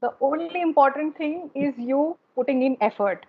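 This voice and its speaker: A woman's voice: sits high, moderate pace, phone-quality sound, slight background noise. A woman with an Indian English accent and Bengali as her first language, coaching English in an emphatic, repetitive, teacherly way. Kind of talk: instructive conversation.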